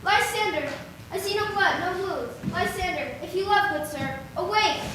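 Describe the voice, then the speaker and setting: A woman's voice: very high voice, moderate pace. A woman playing a lovelorn, self-pitying young woman in a Shakespearean stage play, with plaintive, emphatic delivery. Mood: lovelorn, despairing. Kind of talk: amateur stage performance of Shakespearean verse. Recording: very echoey hall from far off, faint noise.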